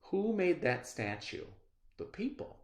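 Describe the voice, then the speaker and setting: A man teaching children in a read-aloud lesson, expressive and instructive, often posing questions and checking in. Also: high-pitched, takes it slowly. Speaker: elementary school teacher. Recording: echoey room sound.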